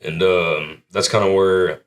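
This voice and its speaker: A man with a low, deep voice speaks in an unhurried, laid-back way. He sounds modest as he talks about his music, filling his answers with easy fillers. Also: steady tone. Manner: laid-back, modest, lots of "you know" and "kinda". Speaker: country singer, interview guest. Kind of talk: relaxed interview answers.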